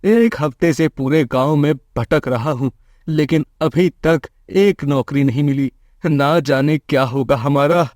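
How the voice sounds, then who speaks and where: A man voicing a kind, selfless, poor village husband in a cartoon moral story, earnest and gentle. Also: fairly high pitch, a little slow, no echo, clean studio sound, lightly acted. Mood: dejected.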